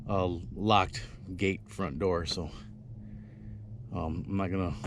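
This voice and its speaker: A man's voice: low voice, fairly even intonation, slow. A man giving casual outdoor travel narration to camera as a vlogger, exploring out in the open. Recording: windy outdoor recording with loud noise. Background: loud wind noise.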